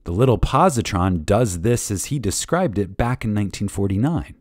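Male lecture reader, low mellow voice, lively intonation, reverent, deliberate pauses, emphatic. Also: no room sound, clean studio sound. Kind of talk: dramatic reading of a spiritual lecture.

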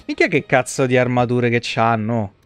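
A man's voice: mid-range voice, moderate pace, fairly bright tone, clean tone, dry recording. A man, giving casual, blunt and sweary live game commentary to his chat. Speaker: live streamer. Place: indoor room at home, streaming setup. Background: video game music.

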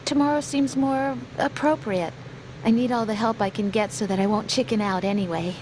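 A young female analyst voiced by an actress in a video game radio call, tender yet evasive. Her voice sits fairly high, with clear noise behind it. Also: moderate pace, darkish tone, close to an everyday voice, low-quality recording. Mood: hesitant, wistful.

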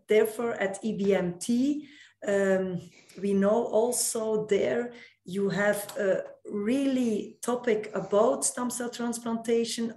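A middle-aged woman speaking halting, non-native English as a guest in a panel discussion on a video call. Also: medium pitch, darkish tone, echoey room. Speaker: podcast guest, nurse.

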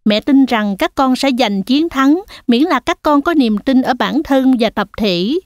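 A woman's voice: high voice, lively intonation, a little slow, dry recording. A woman voicing a loving mother goat in a cartoon, tender and reassuring. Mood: loving, proud.

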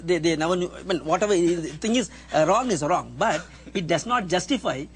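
A middle-aged man with a Sri Lankan accent in a heated panel debate, speaking haltingly and repeating words. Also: sits high, animated. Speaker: panel guest. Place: TV studio round table. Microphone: lapel.

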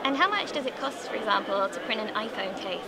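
A woman's voice: medium pitch, sing-song, fast talker. A woman reporting on location at a trade expo, introducing the event and asking exhibitors questions. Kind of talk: on-location interviewing. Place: busy indoor expo hall. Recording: crowd noise behind.

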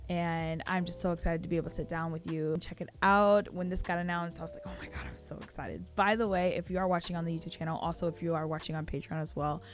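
A young woman hosting a reaction channel, chatting excitedly and talking back to the screen, her voice a bit hoarse from screaming. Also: fairly low pitch, quick pace, hardly any pauses, soft background music. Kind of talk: casual reaction chat. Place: small home studio, indoors. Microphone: studio mic on boom arm, close.

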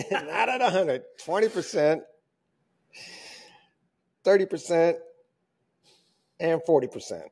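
A man's voice: on the high side, lively intonation, takes it slowly, creaky, long pauses, a little mellow, breathy edge. A middle-aged man coaching a wrist mobility class in a home gym, giving cues and counting out holds, recorded by a camera some way off. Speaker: fitness coach.

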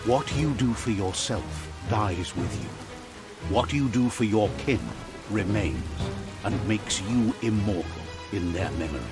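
A man playing a wise, solemn storyteller, narrating a video game fable in a measured, earnest manner. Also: lowish voice, lively intonation, over loud music.

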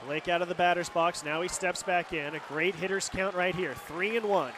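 A man's voice: sits high, steady tone, normal speed. An American man calling live baseball on radio as play-by-play announcer, describing the action as it happens. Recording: loud stadium crowd noise behind. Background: ballpark crowd and outdoor stadium ambience.

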